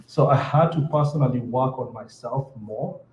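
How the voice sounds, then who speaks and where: A man's voice: mid-range voice, normal speed, a little hiss or hum. A man from Kenya with a Kenyan accent, answering earnestly as a panelist and often repeating words as he builds a sentence. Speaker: panelist entrepreneur. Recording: roomy, slightly dull hall sound.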